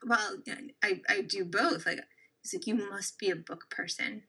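A young woman co-hosting a casual chat, telling an animated dating story and acting out the quoted lines. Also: mid-range voice, moderate pace, creaky, breathy edge. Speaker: co-host.

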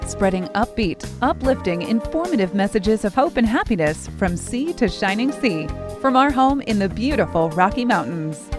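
A woman reading a scripted show introduction as announcer, in a bright promotional delivery. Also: medium pitch, big pitch swings, moderate pace, few pauses. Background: music.

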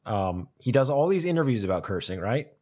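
A man talks through an idea in a relaxed academic conversation, circling back and repeating words as he works it out. His pitch swings widely from phrase to phrase, giving his speech an animated, searching lilt. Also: fairly quick. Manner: thoughtful, repeats words while thinking aloud.

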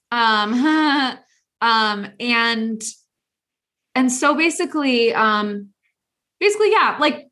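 A woman co-hosting a podcast in a casual chat, animated, laughing often and talking with many fillers. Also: fairly high pitch. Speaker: podcast co-host.

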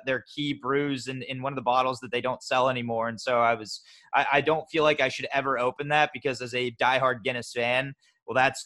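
A man's voice: mid-range voice, sharp tone. A man co-hosting a sports podcast, chatty and rambling, with lots of fillers and restarts. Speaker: podcast co-host. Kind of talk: casual sports podcast chat.

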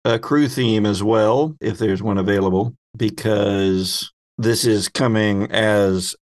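A man's voice: fairly low pitch, takes it slowly. A man speaking casually as the game master of a tabletop roleplaying game, narrating and explaining rules.

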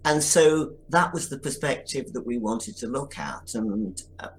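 A man's voice: medium pitch, expressive, a little slow. A man who produced an audio drama, answering interview questions with reflective, wry anecdotes.

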